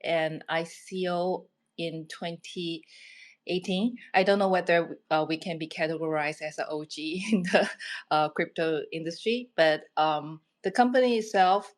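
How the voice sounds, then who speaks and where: A woman's voice: fairly low pitch, a little slow. A woman speaking as a guest on a panel, introducing herself and her company with many hesitant filler sounds.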